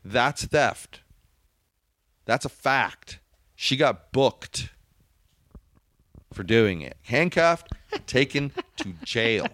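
A man's voice: medium pitch, expressive, extremely slow, long pauses, fairly bright tone, no room sound. A man co-hosting a casual podcast, arguing his points emphatically and bickering with his co-host. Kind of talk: casual opinionated chat.

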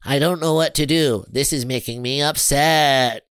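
A man's voice: fairly high pitch, animated, a little slow, no echo, voice pushed up. A man voicing a small, earnest, fretful alien scientist with formal, stilted phrasing in a children's storybook. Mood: frustrated.

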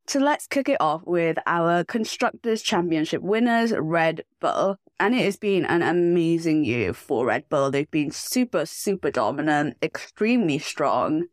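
A woman hosting a solo sports podcast, enthusiastic and emphatic, her voice slightly rough from a cough and cold. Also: sits low, expressive, a little slow, hardly any pauses, dry recording, studio quality. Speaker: podcast host.

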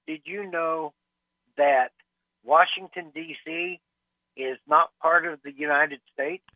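A man's voice: a little high, fairly even intonation, dry recording. Hesitant man calling in over a tinny phone line. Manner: hesitant, halting with many ums. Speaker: caller. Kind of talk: phone-in call to talk radio. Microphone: telephone.

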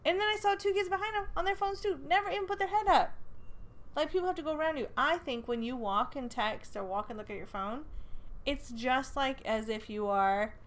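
A woman's voice: high-pitched, brisk. A young woman hosting a podcast with her husband, chatty and laughing easily as she tells stories. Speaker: podcast host. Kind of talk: casual banter.

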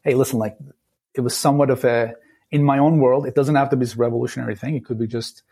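A man in his late thirties from Turkey with a Turkish accent, a podcast guest talking thoughtfully and pausing often to search for words. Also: medium pitch, steady tone, dark tone, breathy edge. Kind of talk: casual interview talk.

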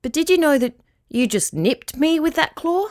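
Woman as a kindly old dog, polite, gentle, raised pitch, very expressive, children's storybook. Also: moderate pace. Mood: surprised, polite.

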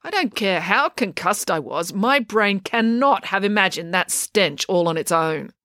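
A woman's voice: medium pitch, lively intonation, measured pace, no room sound, clean studio sound, pitched above the performer's own voice. A woman voicing a fierce, stubborn girl who rants indignantly. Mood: ranting. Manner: ranting, indignant, loud.

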